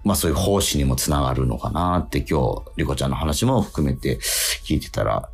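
A man hosting a casual radio talk, posing quiz questions, haltingly repeating himself and slowing down to stress key phrases. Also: a bass voice, lively intonation, gravelly, breathy edge, faint music behind.

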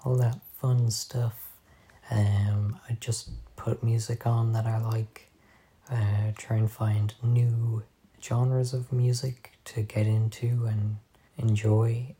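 A hoarse man hosting a casual solo podcast at home, soft-spoken and halting, recorded on a phone voice memo. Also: lowish voice, little pitch movement. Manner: soft-spoken, halting, drifting off mid-sentence. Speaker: podcast host.

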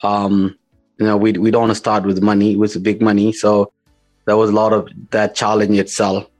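A man with a South Sudanese accent answering interview questions as a company founder, in informal, halting, repetitive phrasing. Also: lowish voice, completely flat delivery, darkish tone, faint music behind. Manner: informal, repetitive, halting phrasing with non-standard English grammar.

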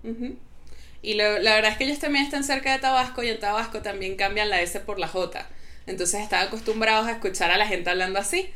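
A woman's voice: mid-range voice, fast. A Venezuelan woman with a Venezuelan Spanish accent, chatting casually and telling stories full of mimicked voices and laughter. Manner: animated storyteller, mimics other accents, laughs often. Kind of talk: casual chat.

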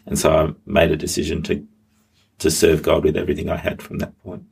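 A man's voice: a bass voice, completely flat delivery, fairly quick, vocal fry, husky. A middle-aged man, a pastor, telling his story as an interview guest, hesitant with many ums and restarts. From Australia. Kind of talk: interview guest, personal testimony.

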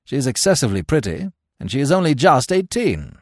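Man as a pompous, irritable young gentleman, very animated. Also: mid-range voice, a little fast, dry recording, clean studio sound, voice pushed up.

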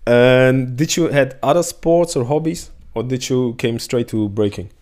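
A man in his thirties hosting a podcast, laughing often and speaking relaxed non-native English. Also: mid-range voice, a little slow. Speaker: podcast host. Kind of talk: casual interview.

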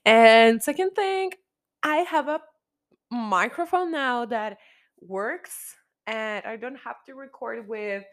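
A young woman with a slightly raspy, hoarse voice hosting her podcast in casual chat, repeating herself and restarting her sentences. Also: fairly high pitch, slow pace. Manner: chatty, repeats herself, frequent restarts and fillers. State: hoarse after a concert. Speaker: podcast host. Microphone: studio microphone.

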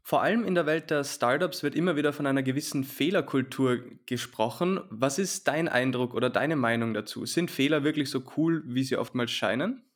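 A man's voice: mid-range voice, fairly quick, few pauses. A young man in his twenties, a university student hosting an interview, who asks questions in a polite and slightly formal way.

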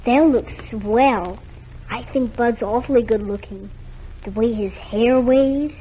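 A woman's voice: on the high side, slow, a little hiss or hum. An actress playing a chatty nine-year-old girl sick in bed, in 1940s radio acting. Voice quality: girlish and eager. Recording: muffled old radio broadcast.

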